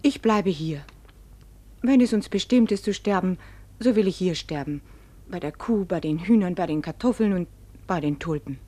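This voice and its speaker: Anxious, steadfast farmer's wife. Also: female voice, lowish voice, expressive, moderate pace, warm tone. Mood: pleading. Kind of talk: 1950s German radio play acting.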